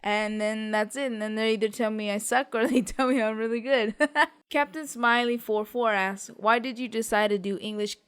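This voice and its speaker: A woman's voice: on the high side, level tone, moderate pace, fairly bright tone, smooth. A young woman in a chatty, upbeat Q&A vlog, reading out fan questions and answering them. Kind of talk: casual Q&A chat.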